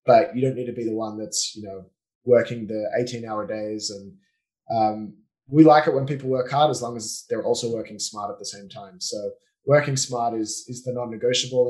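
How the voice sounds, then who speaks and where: A man with an Australian accent, a business owner speaking as a guest on a podcast in a relaxed, explanatory way with frequent fillers. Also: fairly low pitch, a little fast, poor audio. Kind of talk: casual conversational interview.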